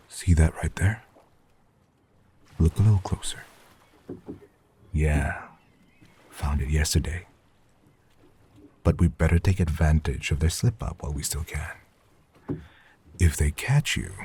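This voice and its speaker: A man plays a gruff, cautious accomplice in an audio drama, over clear background noise. His voice is deep and dark, hushed and unhurried as he gives terse instructions. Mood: hushed, watchful. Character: gruff, cautious male accomplice planning a covert break-in.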